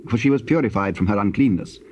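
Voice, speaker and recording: Solemn British male narrator, muffled tape. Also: fairly low pitch. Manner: solemn, measured storytelling. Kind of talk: scripted narration of King James scripture. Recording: dull, hissy old cassette tape.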